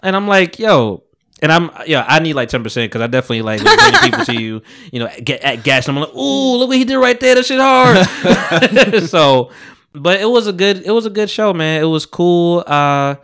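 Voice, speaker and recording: A man in his early thirties hosting a podcast, telling stories in a casual, slangy, animated way. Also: on the high side, brisk, clear, resonant tone. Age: early 30s. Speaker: podcast host. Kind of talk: casual chat and storytelling.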